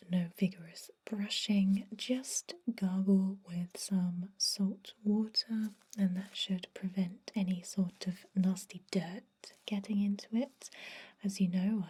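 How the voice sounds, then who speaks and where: A woman voicing a gentle, reassuring vampire dentist in a soft, breathy whisper for an ASMR roleplay. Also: medium pitch. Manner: soothing, reassuring, unhurried.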